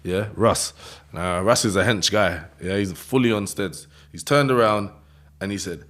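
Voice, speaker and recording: A London man tells his story in heavy street slang, his low voice jumping up whenever he acts out someone else and breaking into laughs. The talk is loose and casual, with lots of "innit" and "you know what I mean". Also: unhurried, fairly bright tone. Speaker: interview guest.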